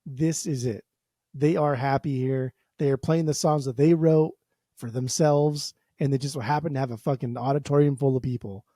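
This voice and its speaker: Brief: male podcast co-host, mellow slightly high voice, teasing and argumentative, dry sound. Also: fairly even intonation, normal speed, no room sound. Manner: teasing, combative and jokey. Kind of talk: casual podcast chat.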